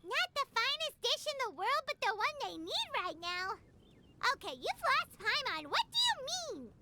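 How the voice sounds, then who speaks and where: A woman's voice: very high-pitched, wildly swooping pitch, normal speed, very noisy, full character voice. A woman voicing a tiny, chirpy female companion who talks about herself in the third person. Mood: curious.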